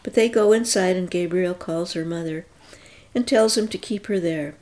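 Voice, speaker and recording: A woman narrating a story aloud in a calm, even reading voice. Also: lowish voice, normal speed.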